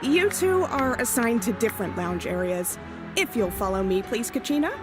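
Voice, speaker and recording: A strict young tournament staffer from a video game, speaks in a high, animated voice. She is formal and firm, with faint music behind her. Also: moderate pace. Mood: polite.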